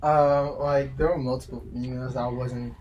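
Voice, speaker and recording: Low-voiced young woman with a lisp. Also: steady tone, darkish tone, lo-fi sound, slight background noise. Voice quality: slight lisp from dental aligners. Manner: halting, repeats himself or herself insistently. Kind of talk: casual chat with friends.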